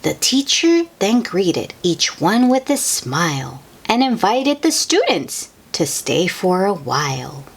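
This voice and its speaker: A woman reading a picture book aloud to young children in an expressive, sing-song way, with chatty asides to the listeners. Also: fairly low pitch, slow pace, hardly any pauses, husky. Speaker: children's read-aloud host.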